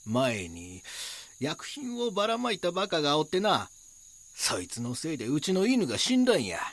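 An actor playing a rough, informal and grudging local man with a Kansai accent in an audio drama. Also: on the high side, wildly swooping pitch, normal speed, fairly bright tone, heavily acted. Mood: bitter. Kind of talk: Japanese audio drama dialogue.

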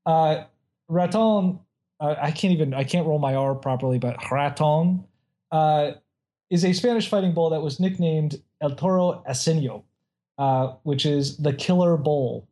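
A man's voice: a little high, a little slow, mellow. A man casually chatting and reading out odd facts, rambling with many restarts and frequent laughs.

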